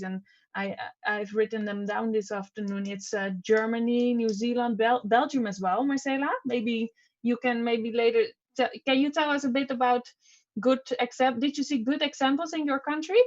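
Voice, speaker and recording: A woman's voice: on the high side, steady tone, normal speed, highs cut off. A woman hosting an online panel discussion, talking in a conversational way and often giving short backchannel replies. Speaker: host.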